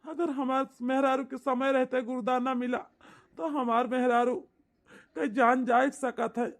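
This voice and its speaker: A man's voice: very high voice, level tone, measured pace. A man playing an anxious, pleading village husband in an amateur cartoon, speaking with an Awadhi accent in a plaintive way. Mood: anxious, lamenting. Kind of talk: amateur comedy cartoon dialogue in rural dialect.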